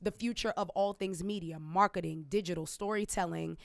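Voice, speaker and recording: A woman guest in a casual interview, talking in an animated, emphatic way about her business and laughing often. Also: on the low side, brisk, gravelly, no room sound, studio quality. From Jamaican family. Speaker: guest entrepreneur.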